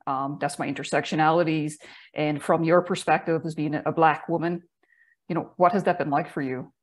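Host: woman from Newfoundland, slightly low voice, stumbling but eager delivery, earbud mic on a video call. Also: steady tone. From Newfoundland, Canada. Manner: halting, repeats words, enthusiastic. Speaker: podcast host. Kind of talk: interview hosting. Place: home office with bookshelves, indoors.

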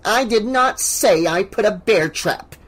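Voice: mid-range voice, unhurried, a bit bright, husky. A narrator performs a scheming, spiteful woman from an audiobook novel. She is imperious and hammy, with a theatrical air of wounded dignity and menace. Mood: indignant.

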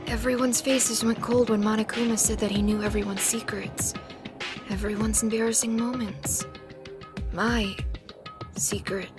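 A woman voicing a timid, gentle teenage boy in a fan manga dub, hesitant and soft, with stammers. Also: fairly high pitch, little pitch movement, a little slow, music in the background, close to an everyday voice. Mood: anxious, subdued.

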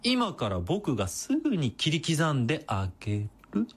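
A sadistic villainess in a radio drama, husky and theatrical. Her delivery is slow and swings widely in pitch, full of sneering taunts. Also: huge pitch swings, full character voice. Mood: gloating, mocking. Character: sadistic, taunting female villain who calls herself boku.

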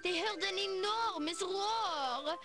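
A woman's voice: very high-pitched, slow pace. A woman voicing a cheerful, polite little French schoolgirl in a children's game. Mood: storytelling. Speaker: character narrator in a children's game. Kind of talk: children's storytelling. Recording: music under the voice.

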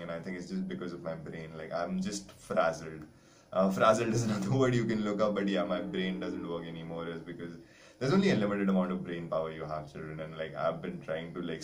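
A man's voice: deep voice, a little hiss or hum. A man in his thirties giving a casual, rambling online lesson to students from a small room. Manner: rambling, chatty, self-mocking. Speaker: teacher.

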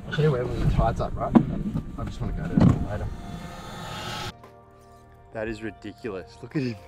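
A man's voice: lowish voice, normal speed, over loud music. A man with an Australian accent chatting and joking on a fishing boat outdoors. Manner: animated, laughing banter. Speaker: tournament angler. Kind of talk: casual chat while fishing.

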